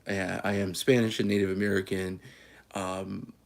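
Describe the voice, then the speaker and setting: Man, Californian, casual coffee vlog host, folksy, low voice, gravelly. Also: flat delivery, normal speed. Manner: easygoing, folksy, says y'all, lots of fillers and restarts. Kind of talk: casual live stream chat.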